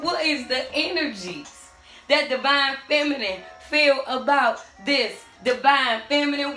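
A middle-aged woman with a hoarse voice giving a tarot reading to camera in a small room at home, sassy and sing-song, calling out to the spirits. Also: high-pitched, sharp tone. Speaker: tarot reader. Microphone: camera standing a few steps away. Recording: echoey room, far from the mic, faint music.

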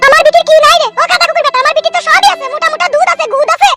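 A scolding village wife from a comedy cartoon. Her voice is extremely high and shrill, and she nags and complains at a quick pace with music behind. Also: fairly even intonation. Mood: scolding, outraged. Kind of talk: amateur comedy cartoon dialogue. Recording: music bed behind dialogue.

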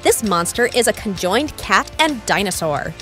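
A woman's voice: medium pitch, big pitch swings, moderate pace, over music. A woman hosting a quiz, reading short scripted questions and answers. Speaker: quiz host.

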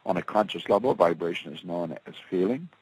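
Animated husky-voiced male seminar speaker. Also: low-pitched, fairly quick, rough recording. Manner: rhetorical questions and acted quotes, swinging from loud to soft. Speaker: motivational speaker. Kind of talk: seminar lecture with slides.

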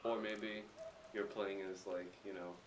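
A woman's voice: a bass voice, little pitch movement, a little slow. A woman casually discussing a game as one of the players. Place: small tiled room indoors, at table. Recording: distant, echoey room, loud noise.

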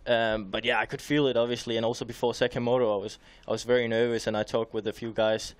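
A man's voice: mid-range voice, level tone, dry recording. A young man talks candidly as a studio guest, often stopping and restarting his sentences. His voice is close and dry on a headset mic. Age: young adult. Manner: candid, halting, many restarts. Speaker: motocross rider guest. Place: small indoor TV studio.

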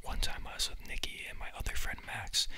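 A man's voice: brisk, pushed and bright. A young man whispering as he casually tells stories to listeners, full of fillers, recorded on a phone at a friend's house. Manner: chatty and giggly, many fillers, whispering throughout. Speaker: podcast host.

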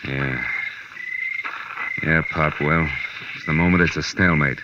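An actor playing a tough, wry private detective with an American accent, clipped and sardonic, in 1940s radio drama style. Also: male voice, extremely low pitch, steady tone, unhurried. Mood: grim, wry. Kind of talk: 1940s radio acting, noir narration. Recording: muffled, noisy, distant 1940s radio recording.

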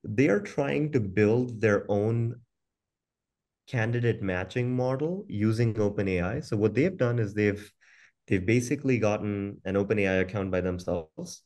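A man's voice: lowish voice, normal speed, a little mellow. A man giving an informal, conversational webinar presentation over a video call, often repeating words as he goes. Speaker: company founder presenting product.

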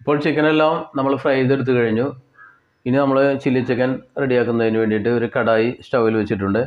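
A man with a Kerala Malayali accent giving step-by-step cooking instructions in a plain way, with pauses and repetitions. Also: medium pitch, steady tone, dull audio. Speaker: home cook presenter. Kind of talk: step-by-step recipe narration.

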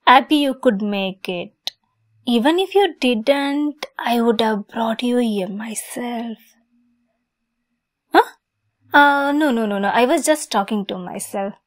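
A woman's voice: a little high, lively intonation, slow pace. A woman playing a lovesick, possessive young kitsune fox girl in an ASMR roleplay, sweet, coy and giggly in manner. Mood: coy, nervous.

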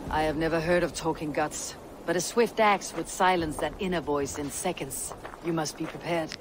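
A woman's voice: low voice, close to an everyday voice. Woman playing a stern Viking warrior over noisy ambience. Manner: commanding, measured, blunt. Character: stern, encouraging young female Viking warrior. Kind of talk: video game cutscene acting. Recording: outdoor ambience noise behind voice.

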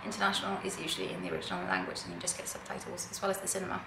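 A woman's voice: on the low side, very animated, racing, gravelly, background noise. A young Norwegian woman in her twenties, speaking English with a British-tinged accent, chatting to camera in a giggly, self-deprecating way as a knitwear designer. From Norway. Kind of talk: casual chat to camera. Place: dim living room, indoors. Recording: roomy living-room echo.

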